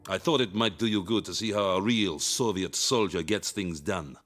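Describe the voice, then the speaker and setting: Cold calculating soviet boss, super deep voice, dry and sarcastic. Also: male voice, normal speed, a bit bright, faint music behind. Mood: sardonic. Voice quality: dry, sardonic, clipped. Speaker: Soviet commanding officer.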